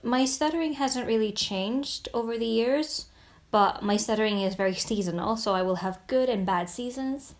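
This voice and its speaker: A woman's voice: a little high, normal speed. Young-sounding woman speaking fluently. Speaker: community member sharing experience. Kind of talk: personal testimony to camera.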